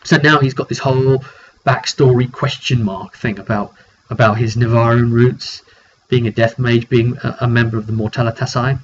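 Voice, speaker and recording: A man's voice: mid-range voice, fairly even intonation, moderate pace. A man informally recapping a tabletop roleplaying session as game master, thinking aloud and repeating words as he goes. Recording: dull recording with faint background noise.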